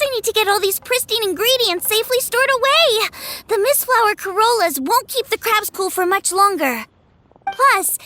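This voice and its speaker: A woman's voice: extremely high pitch, pushed and bright, a little hiss or hum, full character voice. A woman voicing a busy, practical, chatty teenage girl chef in a video game. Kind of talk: animated video game voice acting.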